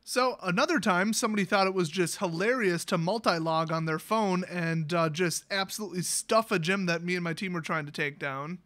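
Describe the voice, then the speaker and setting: High-pitched American man ranting casually. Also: brisk, few pauses, fairly bright tone. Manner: sarcastic, exasperated. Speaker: YouTube storyteller and gamer.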